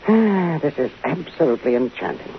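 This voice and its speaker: A smooth-talking, self-important film director in a 1950s radio play. He is flirtatious and affected, with a very lively, swooping pitch. The recording is muffled and hissy. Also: brisk. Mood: charmed, gushing. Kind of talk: 1950s radio drama acting.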